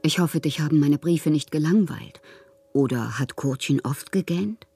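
An actress playing a proud, lovelorn woman poet in a literary radio drama monologue, theatrical and intimate with a husky, breathy voice. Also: deep voice, moderate pace, warm tone, soft background music. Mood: pleading, melancholy. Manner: theatrical, poetic, intimate, at times whispering. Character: wounded, proud, lovelorn woman poet styling herself a prince.

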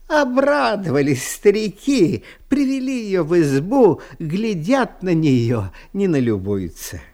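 A man narrating a fairy tale for an audio storybook with expressive, dramatic, unhurried phrasing. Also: a little high.

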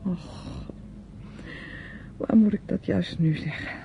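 An actress playing a guarded, weary young niece in a radio play, speaking with restraint. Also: female voice, on the low side, takes it slowly, gravelly, warm tone, full character voice. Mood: weary confession. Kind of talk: radio drama acting. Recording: dull, noisy old radio play recording.

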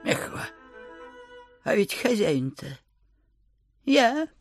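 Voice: medium pitch, big pitch swings, drawn-out words, faint music behind. An actor playing a grumpy, suspicious old miserly landowner in an audio drama, with curt, folksy grumbling. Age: older.

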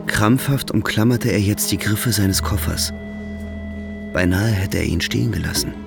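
Low, creaky male radio narrator. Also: normal speed. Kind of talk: scripted literary narration for radio drama. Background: faint music.

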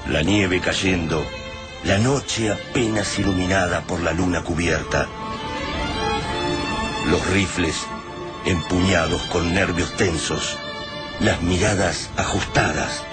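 A man acting as a solemn Argentine narrator of a sci-fi war radio play, phrasing deliberately and with suspense. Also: sits low, over loud music. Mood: grave, suspenseful. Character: grave male narrator of a sci-fi invasion war.

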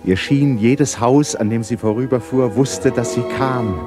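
A man narrating a literary radio drama in a solemn, measured storytelling manner. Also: medium pitch, music in the background.

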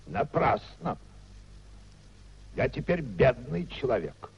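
An actor playing a bitter, domineering middle-aged official with a gravelly voice and mocking, declamatory delivery in a 1950s radio drama. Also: male voice, medium pitch, very animated, slow pace, exaggerated voice. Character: bitter, contemptuous high-ranking official, domineering husband and uncle. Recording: dull, noisy 1950s radio recording.

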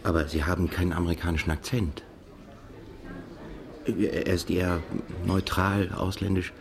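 An actor playing a reserved, courteous émigré journalist in intimate radio play dialogue, polite and a little hesitant. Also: male voice, low-pitched, fairly even intonation, moderate pace, gravelly, a crowd in the background. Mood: curious, courteous.

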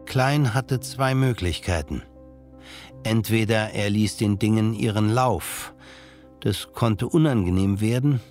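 A man's voice: lowish voice, slow pace, a little mellow. A man reading scripted literary narration as the narrator of a German audio drama. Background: music.

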